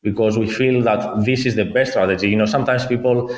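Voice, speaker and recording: Man, Greek accent, lowish voice, echoey room, measured and explanatory. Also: steady tone. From Greece, Athens. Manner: measured, explanatory, lists points. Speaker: guest, company founder. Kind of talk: conversational interview.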